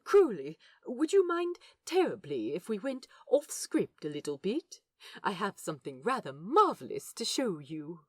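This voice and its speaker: A woman voicing a gentle, eager, old-fashioned man with polite, quaint phrasing in a fan-made audio drama. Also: medium pitch, very animated, a little slow, no room sound, clean studio sound. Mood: excited, delighted.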